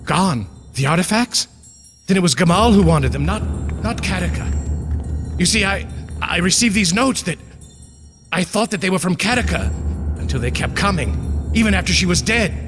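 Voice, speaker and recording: A man's voice: high-pitched, expressive, normal speed, long pauses. A man playing a formal, pompous elder of a secret order in a video game, speaking with theatrical urgency. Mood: agitated. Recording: long hall reverb under loud music.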